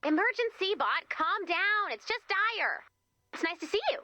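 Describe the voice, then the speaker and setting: A woman's voice: very high-pitched, very animated, moderate pace, pushed and bright, no echo, exaggerated voice. A woman voicing a quirky, chirpy and playful commander character in a video game. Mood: playful, doting.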